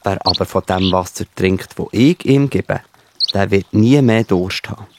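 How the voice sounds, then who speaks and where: A calm, kindly biblical teacher played by a man speaks in a low voice with a gravelly edge. His delivery is measured and explanatory, as in a children's radio play, over clear background noise. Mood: calm, assured. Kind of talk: children's Bible audio drama.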